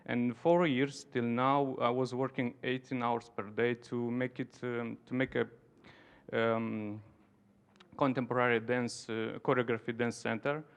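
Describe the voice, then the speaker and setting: Halting Romanian man with a gravelly voice. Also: mid-range voice, steady tone. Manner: halting, searching for words in non-native English. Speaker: dance centre founder, conference participant. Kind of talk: informal conference talk.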